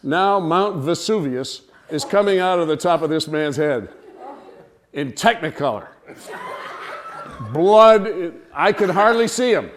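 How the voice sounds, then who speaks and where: A man telling a humorous personal anecdote to listeners in an animated, theatrical way. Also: on the high side, slow pace. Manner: animated, theatrical storytelling with acted-out cries and dialogue. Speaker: storyteller, speaker to an audience.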